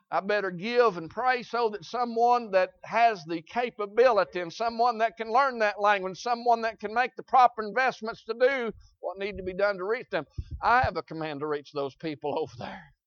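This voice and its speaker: Folksy Southern preacher man, high voice. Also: moderate pace, no echo, dull audio. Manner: folksy, repetitive, swings from loud shouts to quiet asides. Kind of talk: sermon.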